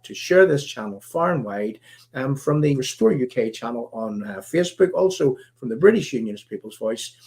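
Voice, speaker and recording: A man with a Northern Irish accent hosting a live stream, talking in a halting, rambling way full of fillers, his voice croaky. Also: medium pitch, a little mellow. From Northern Ireland. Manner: halting, many fillers and restarts. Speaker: livestream host.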